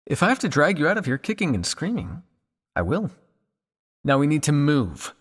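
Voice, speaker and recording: A man playing a weary, guilt-ridden old vampire scientist in an ASMR roleplay, speaking to the listener in a measured, dryly formal way. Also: a little high, huge pitch swings, long reverb tail. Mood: urgent, firm.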